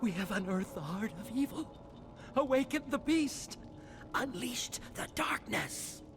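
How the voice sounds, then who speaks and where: A man's voice: extremely high pitch, animated, a little slow, background noise. A man playing an unhinged, feverish research assistant in a video game, prophesying doom in a theatrical, portentous manner. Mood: ominous. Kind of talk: dramatic video game dialogue. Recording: loud game music under dialogue. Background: music.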